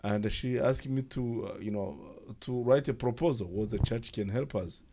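A middle-aged man from Rwanda with a Rwandan accent, a non-native English speaker, telling his own story haltingly in an interview. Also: mid-range voice, gravelly, dark tone, slight background noise. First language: not English. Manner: halting, pauses often. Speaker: interviewee, former refugee. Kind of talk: personal account in an interview.

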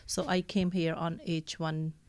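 A woman from Pakistan with a Pakistani accent, explaining her nonprofit work in an interview in a hesitant way with frequent pauses. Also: deep voice, flat delivery, darkish tone, dry recording. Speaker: nonprofit staff member being interviewed.

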